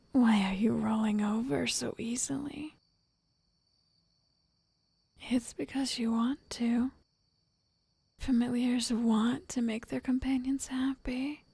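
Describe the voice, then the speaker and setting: A woman voicing a possessive, doting witch in an ASMR roleplay, soft and largely whispered, coaxing the listener with sweet menace. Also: on the high side, husky, no echo, exaggerated voice. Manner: slow, coaxing, intimate, addressing the listener.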